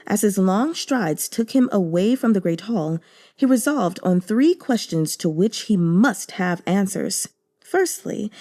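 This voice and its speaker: Female audiobook narrator, mid pitch, mellow, measured delivery. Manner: measured, deliberate storytelling. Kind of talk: scripted narration of a novel translation.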